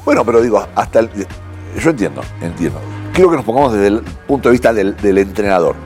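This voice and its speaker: Brief: male pundit, low voice, animated delivery. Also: faint music behind. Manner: animated, quick, voseo. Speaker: sports pundit. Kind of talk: studio sports talk.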